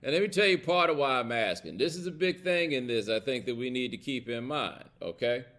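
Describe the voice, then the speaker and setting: A man's voice: mid-range voice, lively intonation, a little fast, a bit bright. An American man hosting a casual, opinionated livestream, talking bluntly and colloquially with emphasis and checking in with his listeners. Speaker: host of a live commentary show.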